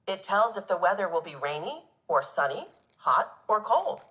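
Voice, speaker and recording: Woman teacher reading aloud with lively intonation. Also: medium pitch, moderate pace. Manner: expressive, instructive delivery for young children. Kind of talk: children's nonfiction read-aloud.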